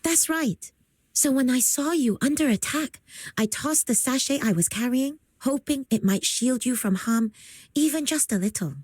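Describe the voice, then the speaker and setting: A woman voicing a gentle, composed young incense bearer in a video game, calm and polite, with a slightly husky voice. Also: sits high, moderate pace, no echo. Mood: earnest, explanatory. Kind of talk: video game character acting.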